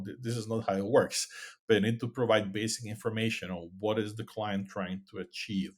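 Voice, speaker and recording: A man's voice: on the low side, darkish tone, breathy edge. A middle-aged man with a Croatian accent, a non-native English speaker explaining his work earnestly as a guest in a podcast interview. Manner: earnest, explanatory, fond of "you know" and "actually". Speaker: guest, AI consultant.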